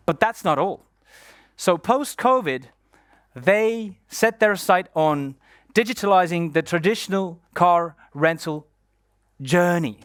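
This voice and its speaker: A man's voice: fairly high pitch, fairly bright tone, no room sound, clean studio sound. Upbeat man hosting on a conference stage. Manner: upbeat, playful, hypes the guest. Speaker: stage host and moderator. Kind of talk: live conference stage interview.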